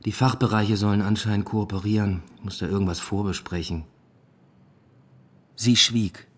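Low-voiced, introspective academic narrator. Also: male voice, steady tone, moderate pace, fairly bright tone. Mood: subdued, weary. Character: weary, introspective male literature academic and stepfather. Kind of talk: radio drama first-person narration and dialogue.

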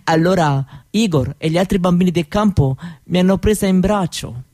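An actress playing a dreamy young Roma woman recalling her childhood in an intimate, halting radio monologue. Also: lowish voice, close to an everyday voice. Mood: remembering, tender. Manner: halting, wistful, with soft repetitions.

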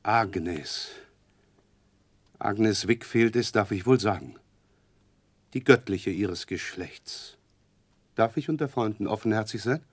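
A slimy humble-acting clerk, sly and spiteful underneath, old radio play, dry studio sound. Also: male voice, lowish voice, takes it slowly, long pauses, husky, no room sound. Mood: fawning boldness. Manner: obsequious, self-abasing, sly. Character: fawning, falsely humble, scheming clerk with hidden spite. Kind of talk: 1960s German radio drama acting.

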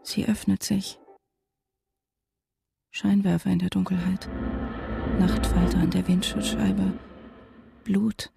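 An actress playing a frightened, haunted wife and mother in a German radio drama, tense and deliberate, defiant under pressure. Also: mid-range voice, little pitch movement, creaky, heavy background noise. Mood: haunted, horrified. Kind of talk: German radio drama acting with inner monologue. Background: music.

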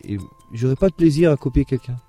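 A man's voice: medium pitch, fast talker, mellow, faint music behind. A man from France with a Belgian French accent, a composer rambling through casual interview answers. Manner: rambling, repeats himself. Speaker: composer of a duo.

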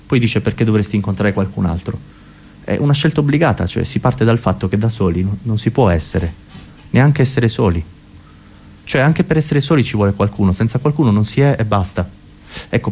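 A man's voice: medium pitch, warm tone, a little hiss or hum. A man performing a conversational, ironic comic monologue for radio theatre, musing philosophically and talking to imagined listeners.

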